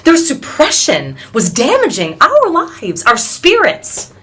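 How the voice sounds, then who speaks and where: A weary but defiant suffragette played by a woman delivers a dramatic stage monologue in a low-pitched voice. She speaks very slowly and deliberately, with intense weight and heavy pauses. Faint music sits behind her. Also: exaggerated voice. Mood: defiant, impassioned.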